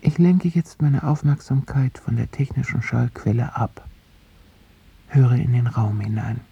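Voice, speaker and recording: A man narrating a radio drama in the first person, in a hushed voice that often drops into a whisper. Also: medium pitch, a little slow, dull audio. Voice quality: gravelly, raspy voice. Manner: hushed, partly whispered, careful.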